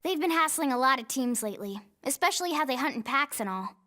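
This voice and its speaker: A woman's voice: high voice, animated, quick pace, sharp tone, no room sound, studio quality. A woman playing an eager, upbeat young female soldier in a video game, with an American accent and a breezy, slangy delivery. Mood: upbeat, impressed. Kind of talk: video game cutscene acting.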